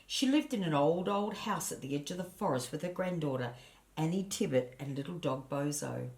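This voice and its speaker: Older female storybook narrator, low register, grandmotherly and expressive, speaking directly to children. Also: moderate pace. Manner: grandmotherly, addresses listeners and points out pictures. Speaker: storybook reader.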